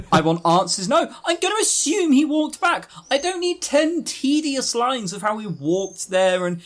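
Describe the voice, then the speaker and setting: Animated, exasperated podcast co-host with lively pitch. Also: a little slow. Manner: animated, exasperated, sweary, emphatic. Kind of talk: casual visual novel review chat.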